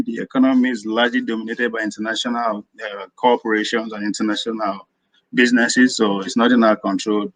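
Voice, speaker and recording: A man's voice: mid-range voice, a bit bright, no echo, rough recording. Rambling Nigerian man arguing a point. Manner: rambling, repeats himself while arguing. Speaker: caller. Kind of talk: live audio room discussion.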